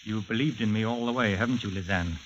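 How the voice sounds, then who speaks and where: An actor playing a smooth, condescending murderous villain in a 1940s radio thriller. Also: male voice, lowish voice, fast, lightly acted. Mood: mocking. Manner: suave, mocking, condescending. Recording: muffled, hissy 1940s radio recording.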